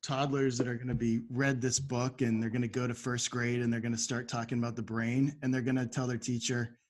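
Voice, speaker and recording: Mid-pitched man hosting a podcast. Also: little pitch movement, a little fast. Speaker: podcast host.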